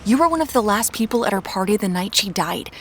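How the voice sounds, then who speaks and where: An actress as a brisk woman detective, noisy backdrop. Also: mid-range voice, animated, a bit bright, lightly acted. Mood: dry, brisk. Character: brisk, evasive young woman amateur detective. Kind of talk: audio drama acting.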